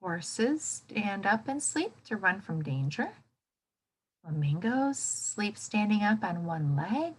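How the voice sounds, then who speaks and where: A woman with a bright, clear-cut tone speaks as an elementary teacher to young children. Her pitch swings widely and she takes her time, leaving room for answers. She prompts with questions and encourages in an exaggerated, child-friendly way. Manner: exaggerated, child-directed expressiveness. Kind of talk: interactive read-aloud lesson for young children.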